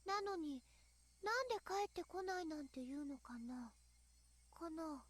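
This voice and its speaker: A woman's voice: very high voice, animated, brisk, a bit bright. A woman voicing an eerie teenage schoolgirl in a Japanese visual novel, creepy, insinuating and dismissive. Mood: eerie probing. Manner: creepy, insinuating, repeating sentence endings.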